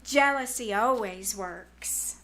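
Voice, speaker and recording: A woman's voice: mid-range voice, takes it slowly, fairly bright tone, a little hiss or hum. A woman playing a bossy, scheming teenage girl in old-time radio comedy style, emphatic and wheedling. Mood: persuasive. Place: live on a small theater stage.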